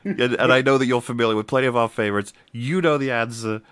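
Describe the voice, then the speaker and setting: A man's voice: medium pitch, fast, a bit bright, smooth, no echo, studio quality. A man hosting a casual stream chat, rambling loosely through viewer messages and swearing now and then. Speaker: host reading viewer messages.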